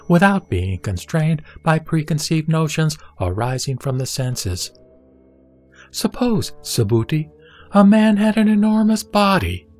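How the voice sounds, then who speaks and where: A man's voice: medium pitch, a little mellow, close to an everyday voice. A man playing the Buddha in a scripture audio drama, a serene teacher speaking formally and instructively. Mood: calm teaching. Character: the Buddha, serene authoritative male teacher addressing his disciple.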